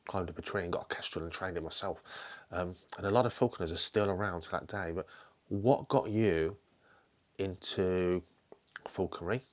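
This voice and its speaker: A man hosting a channel, asking questions in a relaxed, conversational way. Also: deep voice, moderate pace. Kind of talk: casual recorded message.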